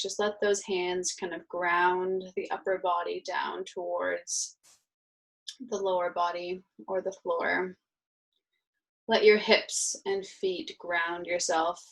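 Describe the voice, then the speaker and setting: A woman leading a guided meditation as an instructor, speaking soothingly and informally in gentle, unhurried instructions. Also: lowish voice, vocal fry, low-quality recording.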